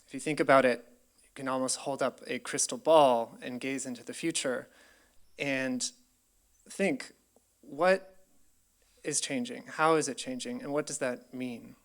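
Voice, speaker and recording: A man's voice: mid-range voice, a little slow, pauses often. A young man from Colorado with an American accent, giving an earnest, grateful public presentation with frequent ums. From United States, Colorado. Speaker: PhD researcher presenting.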